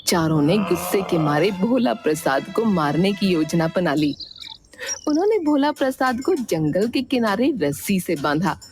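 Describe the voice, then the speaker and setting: A woman narrating a moral story for a cartoon in a lively storytelling manner. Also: fairly low pitch, a little slow. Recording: music playing behind.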